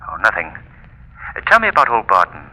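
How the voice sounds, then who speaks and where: An actor playing a mysterious invisible crime fighter, speaking with measured reassurance through a telephone-like filter in 1930s radio mystery style. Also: male voice, lowish voice, huge pitch swings, bright, forceful tone, exaggerated voice. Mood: probing. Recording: echoing 1930s radio recording.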